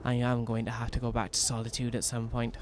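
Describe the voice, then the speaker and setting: A man's voice: medium pitch, monotone, fast talker, noisy background. Rambling Welsh man streaming a game. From Wales. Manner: rambling, self-mocking, many restarts. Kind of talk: casual in-character role-play commentary.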